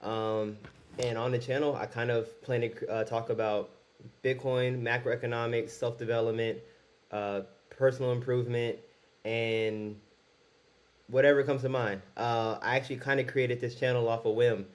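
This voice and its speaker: A man's voice: mid-range voice, fairly even intonation, normal speed. A man in his twenties talking candidly to camera in his first video, rambling a little and restarting his sentences. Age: 20s. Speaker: YouTuber. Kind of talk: casual talk to camera.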